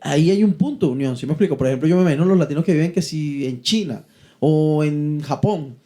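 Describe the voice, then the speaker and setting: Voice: medium pitch, fast talker, mellow. A podcast co-host from Venezuela, explaining in a casual way and often checking that they are understood. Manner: keeps asking "¿sí me explico?".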